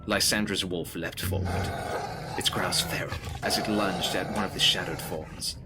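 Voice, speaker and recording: A man's voice: sits low, fairly even intonation, normal speed, very noisy. A man delivering scripted, dramatic narration of a gothic horror story.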